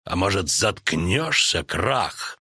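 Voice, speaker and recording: A man voicing a calm, stern islander lord with a sullen, cutting manner in a fantasy audiobook. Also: lowish voice, moderate pace, husky, no room sound, clean studio sound. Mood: sullen, biting.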